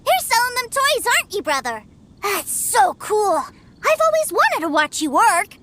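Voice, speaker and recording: A woman voicing an innocent, excitable little boy in a video game, earnest and wide-eyed. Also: extremely high pitch, measured pace, slight background noise, exaggerated voice. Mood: awed, excited. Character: innocent, excitable little boy adoring his big brother.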